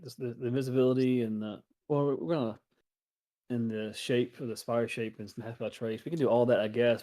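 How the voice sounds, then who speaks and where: Man player thinking out loud, mid pitch, hesitant, lots of restarts. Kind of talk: planning aloud in a tabletop game.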